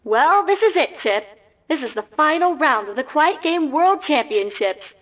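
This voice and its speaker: A woman voicing an excitable pony sports announcer with hyped, showy broadcast delivery in a comedic story reading. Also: high-pitched, unhurried, pitched above the performer's own voice.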